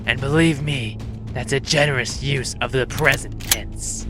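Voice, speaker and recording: An actor playing a coolly menacing, sardonic robot villain with a theatrical, mocking delivery in a sci-fi audio drama. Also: male voice, mid-range voice, a little slow, music in the background, lightly acted. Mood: mocking menace.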